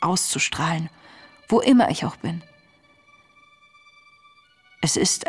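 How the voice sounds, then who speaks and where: Eine Schauspielerin spielt in einem Hörspiel eine nachdenkliche, ängstliche junge Tagebuchschreiberin, intim und bedächtig vorgetragen. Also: female voice, fairly low pitch, husky. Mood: pleading, devout. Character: introspective, anxious young woman reading her diary. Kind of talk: literary radio drama monologue.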